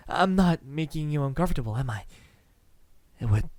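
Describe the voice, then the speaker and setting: A man playing a lonely, centuries-old vampire suitor in an ASMR roleplay, speaking softly and haltingly with tender words that slip into whispers. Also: on the high side, animated, dry recording, lightly acted. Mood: adoring, pleading. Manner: soft-spoken, halting, tender, slipping into whispers.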